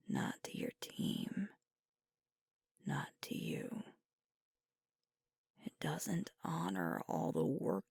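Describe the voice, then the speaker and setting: A woman acting as a sweet alpha werewolf girlfriend in an intimate ASMR roleplay. She speaks in a very breathy whisper, slowly and with deliberate pauses. Her tone is protective and gently firm, coaxing and soothing, recorded close and dry. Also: very deep voice, no echo, full character voice. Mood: reassuring, insistent.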